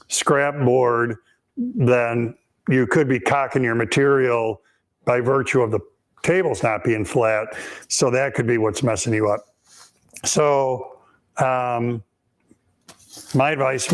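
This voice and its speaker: A man with a raspy, hoarse voice, hosting a live woodworking Q&A and explaining techniques step by step in a shop, with frequent ums. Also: mid-range voice. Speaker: woodworking instructor and host.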